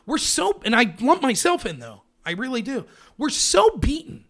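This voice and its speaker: A man with a strikingly high voice delivers a heated political rant. He leans on sarcasm and rhetorical questions, punching each point with emphasis. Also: sing-song, moderate pace, fairly bright tone.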